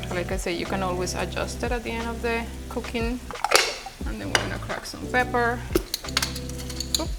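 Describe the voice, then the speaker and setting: A woman's voice: a little high, moderate pace, loud music behind the voice. Chatty Colombian woman hosting a cooking video. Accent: Colombian Spanish. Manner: warm, chatty, guiding viewers step by step. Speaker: cooking channel host. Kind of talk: cooking vlog demonstration. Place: home kitchen.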